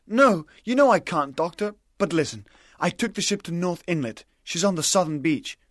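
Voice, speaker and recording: A man's voice: high-pitched, animated, normal speed, dry recording, clean studio sound, voice pushed up. A man voicing a frightened, remorseful and honest young boy in an audiobook. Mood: anxious, resolute. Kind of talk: audiobook character acting.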